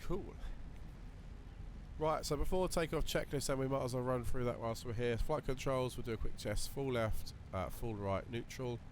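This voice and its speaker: A man with a slightly croaky voice, casually talking through a flight simulator session while thinking aloud with lots of fillers. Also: mid-range voice, moderate pace. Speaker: flight sim video creator. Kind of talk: relaxed running commentary while flying a simulator. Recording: voice over loud simulator cockpit noise.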